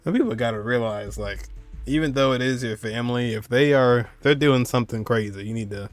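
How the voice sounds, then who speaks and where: A man's voice: medium pitch, fairly quick, no echo, soft background music. A man giving casual reaction commentary on game cutscenes, slangy and full of exclamations.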